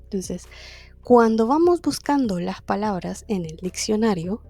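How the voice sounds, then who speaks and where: A woman explains a language-learning lesson in a relaxed, conversational teaching manner, often posing a question and answering it herself. Her voice is warm and mellow, and faint music sits underneath. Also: mid-range voice, unhurried, dry recording.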